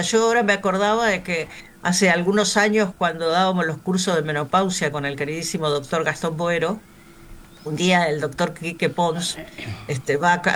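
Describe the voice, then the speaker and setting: A woman's voice: on the low side, a bit bright. A woman in her sixties with a Río de la Plata accent, talking in a panel discussion in a chatty, anecdotal way with frequent fillers. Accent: Río de la Plata Spanish. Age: 60s.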